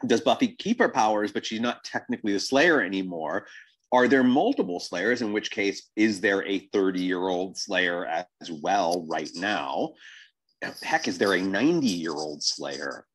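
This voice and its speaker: A man in a casual podcast discussion, thinking aloud in a halting, wordy, questioning way. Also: sits low, lively intonation, fairly bright tone, breathy edge, rough recording. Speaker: podcast host.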